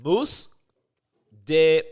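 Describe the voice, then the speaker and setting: A man's voice: on the high side, animated, drawn-out words. A man co-hosting a podcast, casually and playfully giving his reactions to snacks. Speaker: podcast co-host. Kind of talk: casual chat reviewing snacks.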